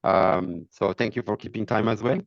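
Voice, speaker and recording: A man's voice: on the low side, steady tone, normal speed, gravelly, no echo, dull audio. A man moderating a webinar over a video call, polite and formal, with fillers.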